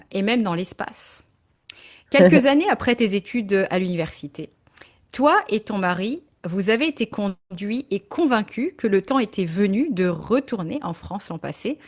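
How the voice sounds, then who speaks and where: A woman hosts an interview and guides her guest with questions. Her voice sits at an average pitch, and she sounds encouraging and affirming throughout. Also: animated, normal speed.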